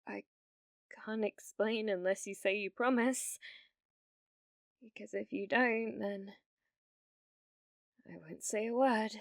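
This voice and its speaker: A British woman playing an obsessive, needy young female streamer in an ASMR roleplay, pleading and stammering, swinging between breathy whispers and outbursts. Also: mid-range voice, takes it slowly, pauses often, dry recording, studio quality. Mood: anxious, pleading, then sulky, teasing. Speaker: ASMR voice actress.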